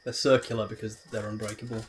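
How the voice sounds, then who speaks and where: A woman's voice: extremely low pitch, little pitch movement, fast talker. A woman giving casual co-commentary over video game cutscenes in a Let's Play. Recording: faint noise, game audio behind.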